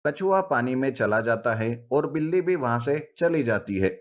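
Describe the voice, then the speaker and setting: A man's voice: medium pitch, expressive, normal speed. A man giving scripted narration for a children's moral story.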